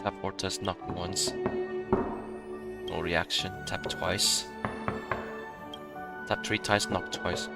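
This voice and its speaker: A man's voice: sits low, steady tone, takes it slowly, creaky, pauses often. A man doing casual let's play commentary, informally reacting aloud and reading out game text. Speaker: gamer playing and reacting. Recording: game music behind the voice.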